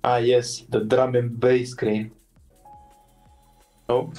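Very low-voiced woman streamer, drawling. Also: flat delivery, extremely slow, mellow, faint music behind. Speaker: game streamer. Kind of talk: casual reaction commentary over gameplay.

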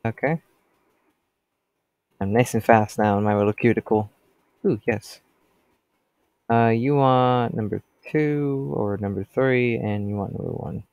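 A man's voice: medium pitch, a little slow, long pauses, darkish tone, smooth, dry recording, muffled recording. A teenage boy with a stuffy cold voice, rambling casually as he comments on his gameplay. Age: teens. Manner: chatty, rambling, talks to customers.

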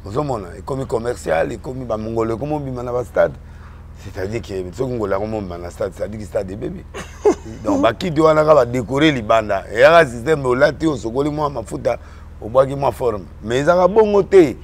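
Homme originaire du Congo qui parle en mélangeant le français et le lingala, sur un ton emphatique, en répétant ses mots dans une discussion politique. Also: male voice, medium pitch, expressive, normal speed, a little hiss or hum. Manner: mixes French and Lingala, emphatic, repeats words. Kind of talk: animated political chat.

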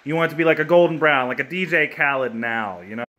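A young man in his twenties filming a comedic cooking video in his home kitchen on a phone held at arm's length, cracking crude deadpan jokes and swearing freely. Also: fairly high pitch, quick pace. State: hungover.

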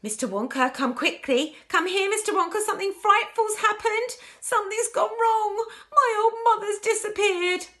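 A woman's voice: fairly low pitch, lively intonation, unhurried, fairly bright tone. A woman reading a storybook, voicing a panicked grown daughter who wails and exclaims shrilly. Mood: frantic alarm. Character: frantic, wailing grown daughter, a panicked mother.

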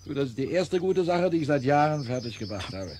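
A man's voice: on the high side, very animated, fairly quick, darkish tone, slight background noise. A man playing an easygoing, heavyset idler, leisurely and ironic, in a German radio play from around 1970. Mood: contented. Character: easygoing, teasing, heavyset man who loves idleness.

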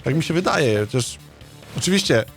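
A man's voice: on the high side, expressive, normal speed, creaky. A Polish man giving casual, rambling game commentary and chatting with viewers in blunt, sweary language. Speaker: gaming streamer playing a visual novel. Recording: game music behind the voice.

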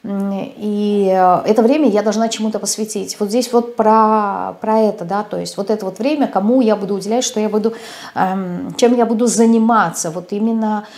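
A woman's voice: mid-range voice, steady tone, normal speed, a little mellow. A woman in her thirties gives an unscripted tarot reading to camera, speaking hesitantly with frequent filler sounds and restarts, recorded at a desk in a small room. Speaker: tarot reader.

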